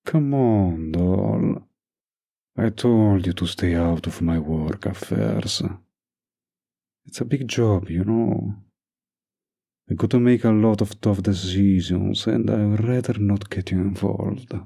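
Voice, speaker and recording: Very deep, gravelly mafia boss boyfriend, acted by a man. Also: slow, mellow, exaggerated voice. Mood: doting, teasing. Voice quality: gravelly, husky. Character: dominant young mafia boss boyfriend, menacing yet doting. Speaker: voice actor. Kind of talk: scripted romantic audio roleplay.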